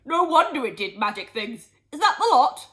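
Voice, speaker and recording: Woman putting on an excitable father, wildly swooping voice. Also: high-pitched, normal speed, pitched above the performer's own voice, heavily acted. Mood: amazed. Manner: exclaiming, gushing, urging. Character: excitable, impatient, booming father.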